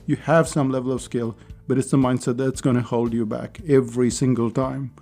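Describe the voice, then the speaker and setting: A man hosting a casual solo podcast, chatty and persuasive, with his voice hoarse and going. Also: medium pitch, little pitch movement, a little fast, warm tone, soft background music. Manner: chatty, persuasive, with small laughs. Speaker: podcast host.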